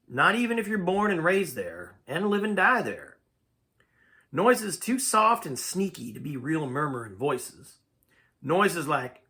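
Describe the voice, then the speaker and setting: A man reading a story aloud as narrator, with a dramatic, expressive, folksy delivery. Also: fairly high pitch. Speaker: amateur story reader.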